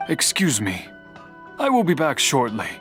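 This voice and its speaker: A man playing an old, patient master swordsmith in a video game, speaking in a measured, formal, lecturing way. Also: medium pitch, wildly swooping pitch, music in the background. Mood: calm. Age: older.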